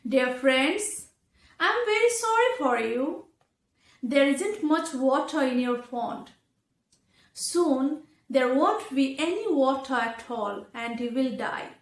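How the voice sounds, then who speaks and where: A clever crane from a storybook, read out by a woman, coaxing and persuasive in a high voice. The words come slowly and expressively, in an echoey room. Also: expressive, heavily acted. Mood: falsely sorrowful. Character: clever crane coaxing fish, smooth-talking storybook bird. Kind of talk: children's story read aloud. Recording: echoey, roomy sound.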